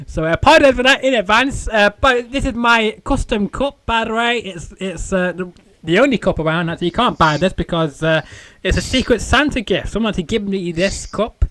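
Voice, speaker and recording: Male interview host, croaky today, rambling and chatty, high tenor, dry close sound. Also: a bit bright, no room sound. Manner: rambling, chatty, many fillers. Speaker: podcast host. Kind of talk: casual interview chat.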